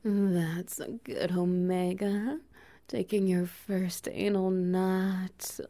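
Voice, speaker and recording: A woman voicing a slow, gravelly-whispering dominant male lover. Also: on the low side, steady tone. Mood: teasing, praising. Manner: breathy whispering, soft laughs, praising. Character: dominant, doting adult male alpha lover. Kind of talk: intimate audio roleplay.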